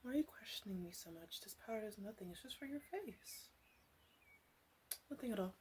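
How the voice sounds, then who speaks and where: A woman's voice: medium pitch, animated, brisk, a little mellow, close to an everyday voice. A woman playing a flirty, doting, slightly bossy witch in an ASMR roleplay, rambling and hesitant as she slips in and out of whispers. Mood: impatient, bossy. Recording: clearly audible background noise.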